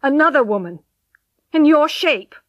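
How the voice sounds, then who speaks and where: A woman voicing a shocked, bitter husband in a dramatic story, stern and halting. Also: on the high side, expressive, no echo, lo-fi sound. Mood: cold, stern. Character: stunned husband, bitter, cold, unforgiving, bewildered.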